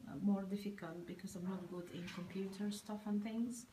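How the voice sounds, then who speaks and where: A young woman who speaks English with an Arabic accent talks through her art, hesitating and reaching for words. Her voice stays flat and even. The studio around her is roomy and echoing, with a crowd talking behind. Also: mid-range voice, normal speed, distant mic. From Egypt. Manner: hesitant, searching for words, many fillers. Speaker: art student. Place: art studio classroom, indoors.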